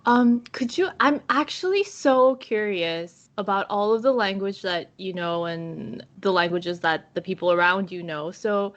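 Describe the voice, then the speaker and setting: A woman's voice: mid-range voice, measured pace, hardly any pauses, lo-fi sound. A young woman from Canada, a guest on a podcast, talking in a friendly, curious way and laughing often. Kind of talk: casual podcast chat.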